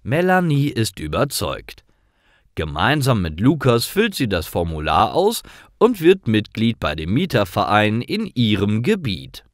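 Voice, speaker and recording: A man reading simple scripted stories aloud in a clear, deliberate manner, as a storyteller for language learners. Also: fairly low pitch, wildly swooping pitch, dry recording, clean studio sound.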